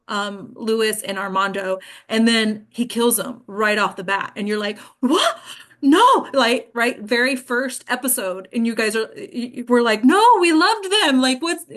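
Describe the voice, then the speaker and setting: A woman's voice: a little high, expressive, unhurried, a bit bright. A woman leading an actors' table read, reading and chatting in an informal, encouraging way. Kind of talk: script reading and group discussion.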